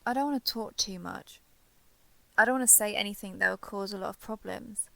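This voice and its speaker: A woman's voice: medium pitch, vocal fry, a bit bright, no echo. A woman playing a shy, guarded villain turned reluctant ally in a roleplay audio drama, speaking hesitantly and with reserve. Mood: reticent, awkward. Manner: hesitant, halting, reserved, understated. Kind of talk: roleplay audio drama, intimate one-sided dialogue.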